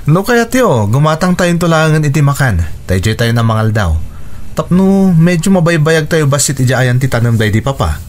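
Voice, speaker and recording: An actor playing a dutiful young adult son in a radio drama, speaking in a caring, reassuring manner. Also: male voice, a little high, animated, moderate pace, close to an everyday voice. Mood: caring, coaxing. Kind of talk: Ilocano radio drama acting.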